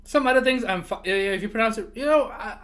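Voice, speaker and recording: A man's voice: high-pitched, quick pace, a bit bright. A man whose first language is not English, chatting casually on a livestream, ranting animatedly and swearing freely.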